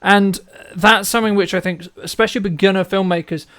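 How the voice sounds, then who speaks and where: A man's voice: sits high, fairly even intonation, fairly quick, a bit bright, clean tone. A man hosting a casual interview, talking in a rambling, repetitive way and often checking in with short tag questions. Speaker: interview host, filmmaker.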